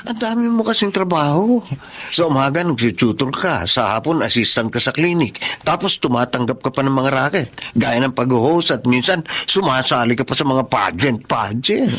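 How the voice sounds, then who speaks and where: A man's voice: mid-range voice, lively intonation, moderate pace, fairly bright tone. An actor in a Tagalog radio drama playing a supportive, concerned young male friend with a Filipino accent.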